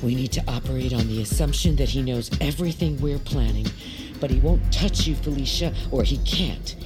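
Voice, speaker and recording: Woman, very low voice, stern blunt occult leader, audio drama, music underneath. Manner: grave, blunt, instructive. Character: stern, exacting female occultist ritual leader. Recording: music bed behind dialogue.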